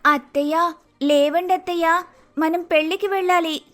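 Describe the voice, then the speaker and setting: A woman's voice: extremely high pitch, steady tone, normal speed, a bit bright, soft background music. A woman voicing a polite, naive young daughter-in-law with an Andhra Telugu accent, exclaiming in a cartoon story. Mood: urgent. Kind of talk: acted dialogue in animated moral story.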